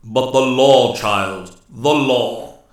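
A man's voice: mid-range voice, very slow, very echoey, recorded from a distance. Man acting a stern old forest lord, solemn and commanding. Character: stern, grave old male forest immortal, ruler of nymphs.

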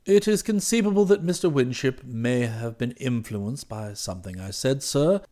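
A man voicing an unflappable, deferential English gentleman's valet in an audiobook, with upper-class British English and formal, measured, discreet phrasing. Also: mid-range voice, pitched below the performer's own voice, lightly acted. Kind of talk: audiobook character voice.

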